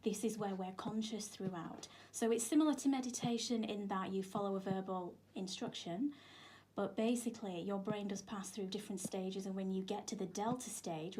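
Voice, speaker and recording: A woman's voice: medium pitch, dark tone, rough recording. A woman, a yoga instructor speaking as a radio studio guest, informal, emphatic and explanatory. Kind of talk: explanatory radio interview talk. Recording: clear background noise in studio.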